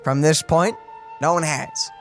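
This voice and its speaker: A man's voice: fairly high pitch, a little slow, music in the background. A young man co-hosting a let's play, chatting casually about games. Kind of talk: casual gaming chat.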